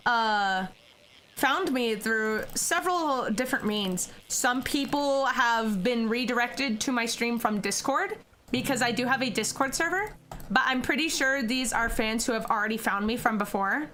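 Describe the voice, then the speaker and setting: A woman's voice: on the high side, lively intonation, measured pace, sharp tone, slight background noise, low-quality recording. A young American woman streaming, chatting casually with her viewers and giggling often. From United States. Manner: giggly, chatty, answers chat questions.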